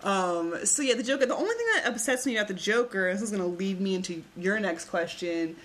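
Bright-voiced joking young woman. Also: mid-range voice, a little fast. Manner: crude, joking, laughs often. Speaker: podcast co-host. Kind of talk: casual comedic chat.

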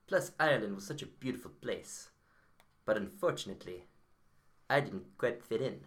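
A man's voice: lowish voice, lively intonation, moderate pace. A man playing a hapless, over-polite detective in a comedy story, stammering and repeating his words as he goes. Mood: narrating, wry. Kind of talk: comedic first-person detective story and sketch.

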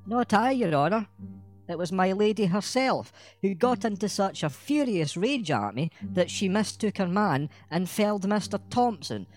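A man's voice: sits high, animated, moderate pace, soft background music, voice pushed up. A man doing a sly, cheeky servant's voice. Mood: sly protest. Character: sly mocking male servant, quick and impish. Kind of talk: audiobook character voice.

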